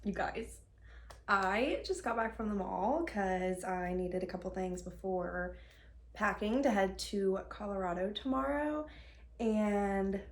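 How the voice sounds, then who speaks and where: A young woman with a slightly hoarse voice after being sick, chatting casually and rambling with lots of fillers in a beauty vlog filmed on her phone at home. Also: mid-range voice. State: hoarse, recovering from illness. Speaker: beauty vlogger. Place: at home, sitting on the floor. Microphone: phone camera.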